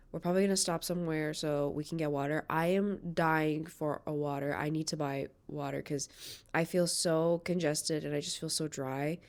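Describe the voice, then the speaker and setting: A woman's voice: low voice, a little mellow. A young woman chatting casually to her phone camera in a vlog, full of "like" fillers and restarts. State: congested, stuffy nose. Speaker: vlogger.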